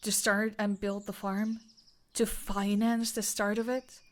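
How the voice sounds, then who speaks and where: Woman as a slow-spoken, modest farm woman. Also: mid-range voice, flat delivery, natural voice. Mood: sincere. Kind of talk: scripted fantasy audio roleplay.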